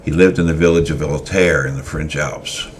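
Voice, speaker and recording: A man reading a story aloud in an unhurried way, with frequent hesitant fillers, over faint background noise. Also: rumbling low, steady tone. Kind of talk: semi-dramatic story reading.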